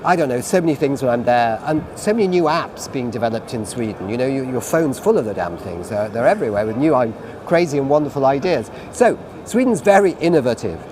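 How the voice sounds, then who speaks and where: A man's voice: mid-range voice, expressive, fairly quick, few pauses, background noise. A British man moderating an online panel over a video call, asking long, chatty questions. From Britain.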